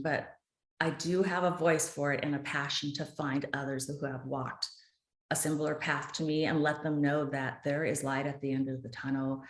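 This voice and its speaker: Low-voiced earnest woman, echoey room. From United States. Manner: earnest, impassioned, speaks with conviction. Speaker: author and advocate guest. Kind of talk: podcast interview.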